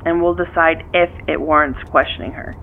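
A woman's voice: deep voice, steady tone, brisk, creaky. A woman playing a guarded, businesslike police detective over the phone in a naturalistic audio drama, with a dry, skeptical manner. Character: guarded, curt female police detective on a call. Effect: telephone filter. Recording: thin phone line with noise.

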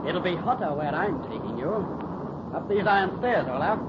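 An actor playing a suave, bored, cruelly scheming gentleman sculptor with a smooth, mocking, cultivated manner, in 1930s radio drama style. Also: on the high side, sing-song, normal speed. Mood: falsely genial. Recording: muffled, hissy 1930s radio recording.

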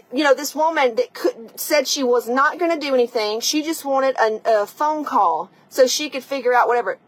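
A woman with a high voice and a raspy edge delivers a tough-love motivational monologue as a coach. She is blunt, emphatic and sweary, speaking directly to the listener in a conversational way. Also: no room sound, rough recording. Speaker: podcast host and coach.

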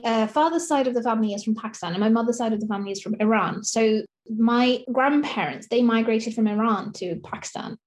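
A woman chatting on a podcast, telling family travel stories in a lively way with loose filler words. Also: a little high. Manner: animated storytelling, loose fillers. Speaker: podcast guest. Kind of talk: casual chat.

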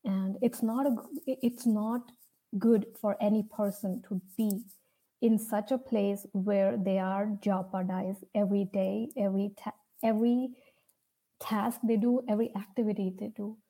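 A young woman from India with an Indian accent tells her personal story off the cuff, earnestly and with hesitant pauses. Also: medium pitch, steady tone, mellow, slightly rough, low-quality recording. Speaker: speaker sharing a personal story. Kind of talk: personal storytelling, unscripted talk.

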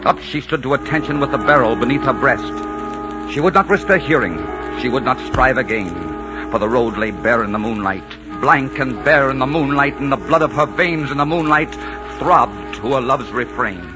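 Actor as theatrical narrator over swelling music. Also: male voice, medium pitch, normal speed, close to an everyday voice. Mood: solemn suspense. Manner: theatrical, incantatory. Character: solemn, romantic storyteller reciting a ballad. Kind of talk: dramatic verse recitation for 1940s radio. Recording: muffled 1940s radio recording with loud music.

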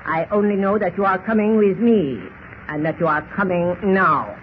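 An actor playing a menacing, imperious Egyptian villain who speaks formally and precisely in a 1940s radio style. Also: male voice, high-pitched, moderate pace, natural voice. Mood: menacing, impatient. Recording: muffled, slightly noisy old radio recording.

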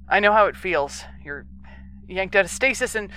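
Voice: medium pitch, animated, moderate pace, sharp tone, slight background noise, rough recording. A performer playing an earnest, conciliatory moonbase crew member pleading for patience in a sci-fi audio drama.

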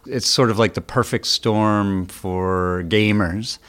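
A man with a low-pitched voice talks through a news story as a guest commentator. He is informal and conversational, phrasing things loosely as he explains. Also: steady tone, takes it slowly, fairly bright tone, clear, resonant tone. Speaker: senior editor, guest commentator.

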